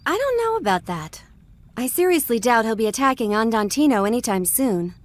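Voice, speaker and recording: A woman's voice: fairly high pitch, very animated, a little fast, dry recording. A woman voicing a confident, sarcastic female rebel fighter in a video game, curt and sardonic. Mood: impatient, confident.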